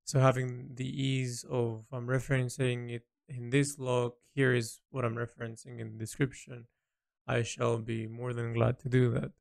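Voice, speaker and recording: A man recording a reflective spoken diary, speaking earnestly and haltingly as he searches for his words. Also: medium pitch, little pitch movement, creaky. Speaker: artist keeping an audio log.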